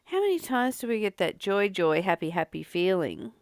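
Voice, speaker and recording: A woman giving a contemplative spiritual reading with informal personal commentary, pausing and repeating phrases as she goes. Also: mid-range voice, very animated, no echo, studio quality. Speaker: host of a spiritual reading and study series.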